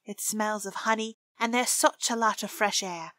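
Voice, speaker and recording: A woman's voice: mid-range voice, moderate pace, no echo, natural voice. A woman playing a cheerful, chatty young housemaid. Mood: fond. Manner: warm and chatty dialect speech.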